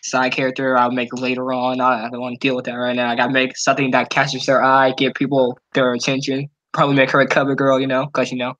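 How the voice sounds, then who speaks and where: A man's voice: mid-range voice, completely flat delivery, fast, hardly any pauses, fairly bright tone. A young man co-hosting a podcast in casual, laid-back, slangy chat. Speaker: podcast co-host, visual novel creator. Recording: dull lo-fi online voice chat.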